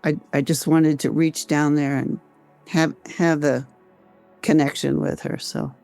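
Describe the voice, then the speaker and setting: An older woman with an American accent, telling her own story conversationally as an interview guest, reflective and halting, repeating phrases as she goes. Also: sits low, flat delivery, vocal fry, mellow, soft background music. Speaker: interview guest, author and volunteer researcher.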